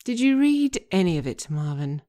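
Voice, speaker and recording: A woman's voice: lowish voice, big pitch swings, moderate pace, dark tone. A woman playing an exasperated, stern lawyer with a clipped, reproving manner, in a scripted audio drama. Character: exasperated, stern female lawyer scolding her client.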